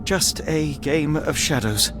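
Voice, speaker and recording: Casting: British gentleman sleuth for a game, cerebral, dryly superior, slightly high voice, prominent score underneath. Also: loud music behind the voice. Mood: grave, intrigued. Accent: British English. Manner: measured, precise, theatrical. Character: cool, cerebral, superior male detective, dryly amused. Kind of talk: dramatic video game cutscene acting.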